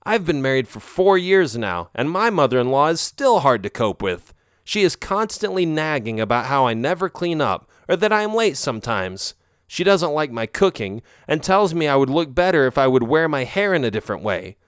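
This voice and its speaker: A man's voice: a little high, very animated, normal speed, clean tone, dry recording, studio quality, pitched above the performer's own voice. A man voicing a cheerful, chatty young married woman who is a caring friend in a read-aloud story.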